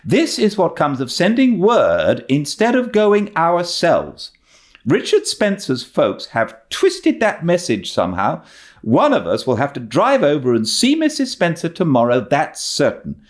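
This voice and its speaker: Man acting out older spinster woman, stern, brisk, scolding, raised pitch, swooping intonation, classic novel audiobook. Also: huge pitch swings. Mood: wrathful.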